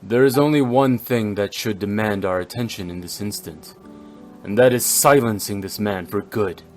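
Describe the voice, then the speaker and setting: A man playing a stern, brooding immortal swordsman in an anime-style game, speaking formally and gravely. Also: lowish voice, moderate pace, darkish tone, soft background music, lightly acted. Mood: stern, insistent.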